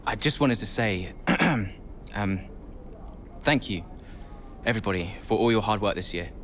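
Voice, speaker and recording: A man playing a subdued racing driver, talking in a halting, downbeat way with noise around him. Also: on the low side, lightly acted. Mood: reluctant. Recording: noticeable ambient and vehicle noise.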